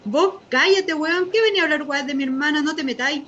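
A sharp-tongued, defensive young Chilean worker in a radio drama. Her voice is on the high side and her delivery curt and irritable. The recording is a little dull with faint noise. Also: quick pace. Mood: annoyed. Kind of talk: naturalistic radio drama acting.

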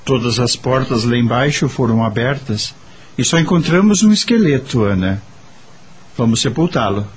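Expressive, swooping kindly male doctor. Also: mid-range voice, moderate pace, slightly rough. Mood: matter-of-fact. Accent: Brazilian. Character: calm, kindly doctor, a serene male spiritist. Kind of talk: radio soap opera acting.

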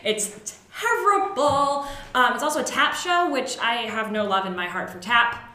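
A woman's voice: on the high side, lively intonation, a little slow, bright, forceful tone. A woman co-hosting a casual theatre podcast panel, speaking in an opinionated, emphatic way. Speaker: podcast co-host. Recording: echoey, roomy sound.

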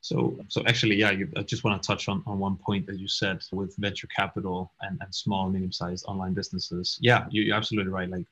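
Husky, quick-talking young man from Denmark. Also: deep voice, little pitch movement, a bit bright. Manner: quick, repeats words, laughs while talking. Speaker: startup co-founder, guest. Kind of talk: casual interview talk. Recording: dull lo-fi audio.

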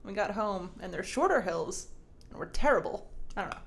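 Woman, podcast co-host, mid-range voice, teasing and quick with jokes. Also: medium pitch, animated, vocal fry, husky. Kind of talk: casual podcast chat.

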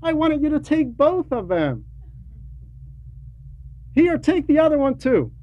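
A man giving a homily to a class in a deliberate, didactic way, pausing between short phrases and repeating words for emphasis, on a dull, lo-fi recording. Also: very high voice, sing-song. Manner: didactic, poses rhetorical questions. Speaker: religious teacher reading a translated homily to a class. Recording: dull lo-fi recording with faint noise.

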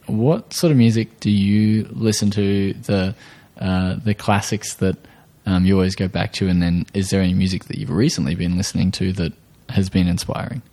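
Deep, creaky young Australian man hosting. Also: steady tone, moderate pace, few pauses, dark tone. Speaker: podcast host. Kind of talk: casual interview.

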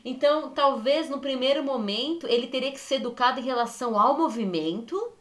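A woman's voice: sits high, brisk. Rambling woman co-hosting a podcast. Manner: rambling, self-correcting, reflective. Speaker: podcast co-host. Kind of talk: casual podcast chat, thinking aloud.

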